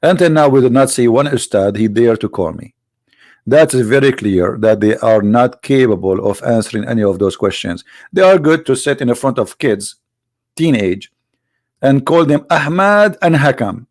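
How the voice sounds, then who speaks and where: Man, host, slight cold, mocking debate talk at middle pitch, dry close sound. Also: animated, normal speed, no room sound. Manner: mocking, rhetorical, swings between loud emphasis and low asides. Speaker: host of a religious debate channel. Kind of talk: polemical livestream talk.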